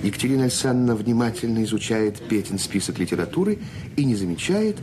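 An actor as a composed narrator, reading stage directions for a Soviet radio play. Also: male voice, on the low side, expressive, quick pace, natural voice. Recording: very noisy old radio recording.

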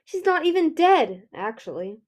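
A woman's voice: high-pitched, normal speed, voice pushed up, natural voice. Woman playing an imperious, mocking villain dragon queen. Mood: airy. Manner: airy, drawling, haughty.

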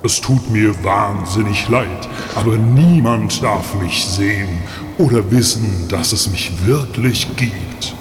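A man acts out a stern Saint Nicholas for an audio play. He speaks slowly and imposingly, an ominous dark stranger striking bargains. His lowish voice rings in long reverb over clear noise. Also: music in the background. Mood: ominous regret. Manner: slow, imposing, deliberate.

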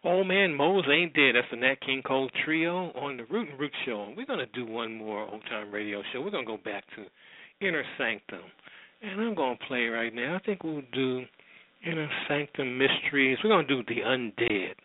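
A man's voice: medium pitch, lively intonation, normal speed. A man presenting a radio show in a casual style, introducing programs as host. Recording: narrow, echoey lo-fi sound.

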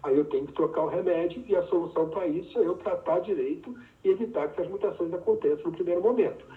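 A man's voice: sits high, fast. Teacherly man on a tinny, echoey line. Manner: patient, teacherly explaining with everyday examples. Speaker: physician and pathology researcher, podcast guest. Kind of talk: explanatory interview answer. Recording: distant, echoey, phone-like remote audio.

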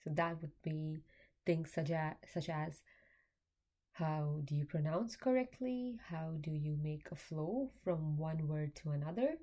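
A young woman, a graduate student, hosting an educational podcast, explaining in a measured way and speaking directly to parents. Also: sits low, animated, mellow. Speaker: podcast host; graduate student in applied linguistics. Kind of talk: scripted educational podcast talk.

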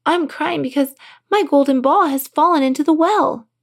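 Woman acting a fretful, high-pitched young princess. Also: moderate pace. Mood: tearful, hopeful. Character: young princess, emotional, fretful, easily flustered. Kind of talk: fairy-tale character voice.

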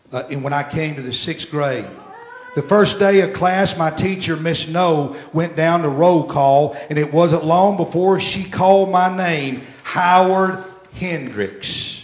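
A pastor in his sixties preaching a sermon, repeating phrases as he swells loud, then dropping very soft. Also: a little high, unhurried. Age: 60s. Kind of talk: sermon with storytelling. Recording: echoey church room.

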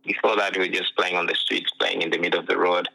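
A young man from Uganda, a pro soccer player telling his story in a relaxed interview, full of "you know" fillers, heard over what sounds like a phone line. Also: sits low, quick pace, vocal fry. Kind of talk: casual interview chat.

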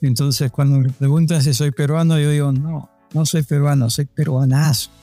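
A man's voice: a little high, steady tone, moderate pace, dark tone, no room sound, faint music behind. An older man, a guest who grew up in Panama, answering interview questions in a hesitant way with many restarts and fillers.

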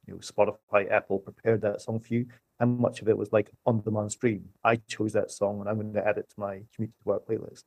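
A man's voice: on the low side, darkish tone, no echo. A man speaking as an expert guest in a podcast interview, laying out an explanation methodically, step by step. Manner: methodical, lays points out step by step. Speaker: economist guest.

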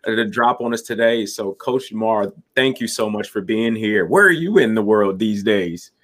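A man's voice: lowish voice, expressive, moderate pace, fairly bright tone. A man hosting an interview show, enthusiastic and chatty, introducing his guests and stacking up questions. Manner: enthusiastic and chatty, restarts sentences. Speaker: podcast host.

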